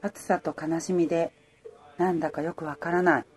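Woman acting wistful mellow-voiced romance drama heroine. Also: low voice, fairly even intonation, normal speed, lightly acted. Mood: wistful, grieving. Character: female apparel worker, wistful heroine narrating her love story. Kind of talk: radio drama narration and dialogue.

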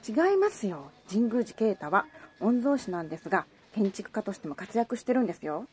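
A woman playing an excitable, nosy young junior coworker in a Japanese radio drama. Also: medium pitch, brisk, natural voice. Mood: nosy, excited.

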